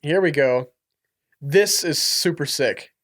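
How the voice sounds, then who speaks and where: An enthusiastic young man with a fairly high voice talks loosely about a film, peppering his talk with "like." The sound is dry and close. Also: slow pace, fairly bright tone, no echo. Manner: enthusiastic, easygoing, says "like" a lot. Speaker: podcast co-host. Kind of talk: casual movie commentary chat.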